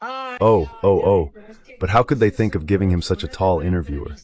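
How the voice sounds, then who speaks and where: A man's voice: low voice, normal speed, crowd noise. A man as a talk show guest in a voiced-over translation, giving conversational political commentary.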